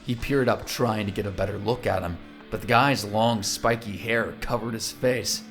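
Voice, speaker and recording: A man's voice: fairly low pitch, steady tone, moderate pace, over music. A man narrating an audio drama from a script.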